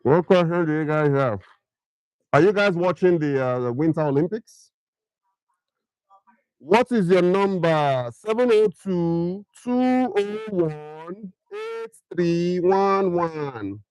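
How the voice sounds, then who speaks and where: A man's voice: high voice, sing-song, slow, darkish tone, smooth, dry recording, rough recording. A middle-aged man from Nigeria with a Nigerian accent, hosting a casual livestream where he keeps firing questions at viewers and slips into pidgin. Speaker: livestream host.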